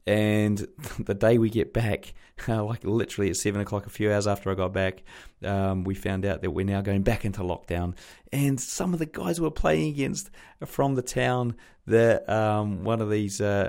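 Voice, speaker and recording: A New man hosting a podcast, chatty and rambling with many fillers, his voice a little croaky from a sore throat. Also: fairly low pitch, steady tone, normal speed, darkish tone. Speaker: podcast host. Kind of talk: casual podcast intro.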